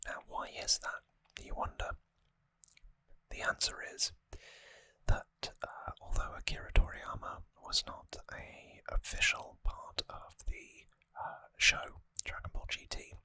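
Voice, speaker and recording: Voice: unhurried, sharp tone, no room sound. An ASMR host whispering close to the microphone in a musing, conversational commentary style. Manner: musing, conversational trivia talk. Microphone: close microphone, dry sound.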